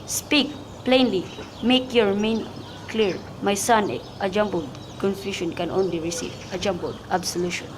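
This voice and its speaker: A woman playing a holy friar and gently scolding mentor in an amateur radio play, reciting carefully with small stumbles. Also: mid-range voice, normal speed, lightly acted. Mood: chiding. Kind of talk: student radio drama, recited modern Shakespeare. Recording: clear background noise with birdsong.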